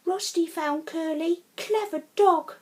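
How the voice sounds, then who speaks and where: A woman voicing an eager, excitable young farm boy in a children's storybook. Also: slow pace. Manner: exclaiming, high-spirited.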